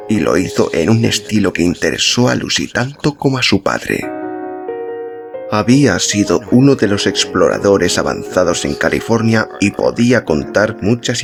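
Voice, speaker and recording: Male audiobook narrator, low voice with gravelly creak, scripted literary storytelling over music.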